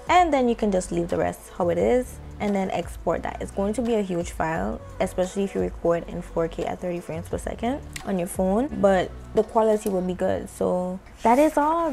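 Relaxed West Indian woman explaining editing. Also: medium pitch, vocal fry. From West Indies. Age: young adult. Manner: relaxed, conversational, careful articulation. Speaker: content creator tutorial host. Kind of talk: casual tutorial walkthrough. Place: small indoor home studio. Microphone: handheld microphone close to mouth.

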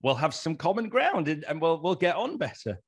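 A man's voice: fairly high pitch, sing-song, brisk, breathy edge. A man in his thirties with an English accent, hosting a casual interview chat into a studio mic. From Leeds, northern England. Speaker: interview host. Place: room with bare brick wall. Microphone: studio mic on boom arm.

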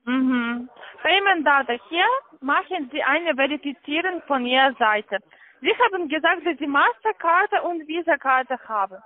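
A woman in German, giving insistent, halting instructions as a call-centre agent on the phone. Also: high voice, expressive. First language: not German. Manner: insistent, halting non-native phrasing. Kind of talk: pushy scripted phone instructions. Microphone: telephone call. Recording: dull lo-fi phone line.

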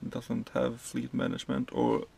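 A man in his thirties from Sweden, a truck driver giving a casual tour of his cab, explaining the controls in a halting way with many fillers and restarts. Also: medium pitch, monotone, gravelly, a little mellow, breathy edge.

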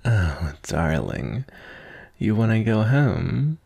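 Man acting a husky, low, obsessive yandere kidnapper. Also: big pitch swings, mellow, heavily acted. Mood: possessive. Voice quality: breathy, husky, often near whisper. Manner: slow, intense, intimate, addressing a captive darling. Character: obsessive, possessive, lovesick male yandere kidnapper. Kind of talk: ASMR roleplay acting.

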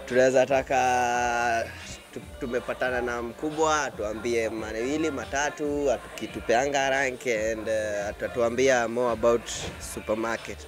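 A young man with a Kenyan accent hosting a casual interview, switching between Swahili and English, on a handheld mic. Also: mid-range voice. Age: young adult. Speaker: show host, interviewer. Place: inside a supermarket. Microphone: handheld microphone. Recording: music playing behind the voice. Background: music.

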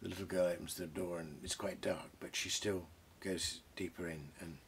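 A British man in his sixties, a host talking about books and reading aloud, reflective and savouring his phrases with deliberate pauses. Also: low voice, fairly even intonation, a little hiss or hum. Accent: British English. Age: 60s. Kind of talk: bookish commentary and reading aloud. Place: small book-crammed study, indoors.

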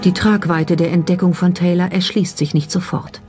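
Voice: medium pitch, fairly even intonation, normal speed. A narrator delivering a scripted documentary voice-over. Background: background music.

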